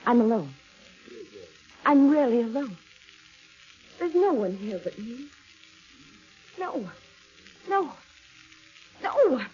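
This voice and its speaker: An actress playing a haunted, frightened wife in a 1940s radio suspense drama, pleading and repeating herself. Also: female voice, fairly high pitch, sing-song, slow pace, long pauses, darkish tone, a little hiss or hum. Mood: anxious, alone. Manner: tremulous, pleading, repeats phrases. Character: terrified, haunted wife, fearful and despairing. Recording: muffled old radio recording, crowd behind.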